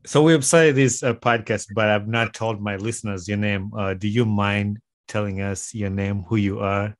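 A man's voice: lowish voice, fairly even intonation, normal speed, no room sound. A man with a Ugandan accent hosting a podcast in a casual, conversational interview style, speaking close to a studio microphone. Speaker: podcast host. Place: small home studio, indoors. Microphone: close podcast microphone on boom arm.

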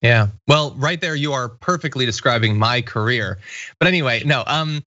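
A man's voice: medium pitch, normal speed, sharp tone, no room sound, dull audio. An American man in his thirties hosting a show in a casual, conversational style from a studio. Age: 30s. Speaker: show host.

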